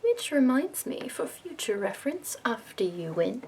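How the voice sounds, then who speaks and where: A woman in her twenties voicing a prim, fussy, upbeat woman makeup escort from the capital, in a soft whispered ASMR roleplay. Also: on the high side, very animated, normal speed, vocal fry, a little hiss or hum. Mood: prim, soothing.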